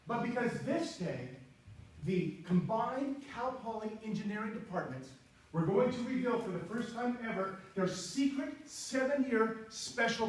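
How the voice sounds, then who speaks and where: A man in his sixties giving an animated, humorous speech to an audience from a stage, now and then breaking into bits of song. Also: high-pitched. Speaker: contest speaker. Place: auditorium stage before an audience. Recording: echoey hall from far off, faint hiss.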